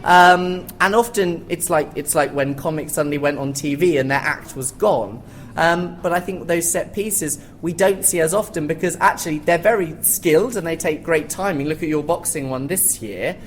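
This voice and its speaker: A man's voice: on the high side, normal speed, slight background noise. A man speaking informally and knowledgeably as a panelist in a live theatre discussion. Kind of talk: informal expert panel talk.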